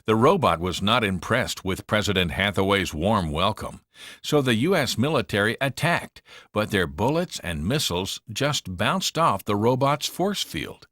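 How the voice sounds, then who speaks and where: A man narrating a children's read-along storybook in an expressive, dramatic manner. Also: lowish voice, unhurried, no room sound, studio quality.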